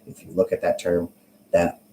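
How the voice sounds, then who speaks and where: A man with a very low, softly dark voice talks through his points in an informal video monologue. He pauses often and adds emphatic tag questions to check the point lands. A faint noise sits behind his voice. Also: completely flat delivery. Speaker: vlogger.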